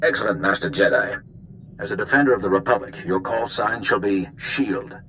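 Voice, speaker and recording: An earnest male flight commander briefs in a low, formal military manner. It is sci-fi game dialogue with faint noise behind the voice. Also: moderate pace, pushed and bright, rough recording. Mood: earnest, official. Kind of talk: video game character acting.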